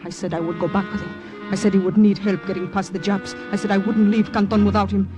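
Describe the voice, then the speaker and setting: Low-voiced devoted woman agent, acted, old radio drama. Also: flat delivery, over music, natural voice. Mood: grave recollection. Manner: earnest, dramatic, deliberate phrasing. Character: devoted, anxious woman resistance agent narrating her wartime memory. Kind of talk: 1940s-50s radio drama acting.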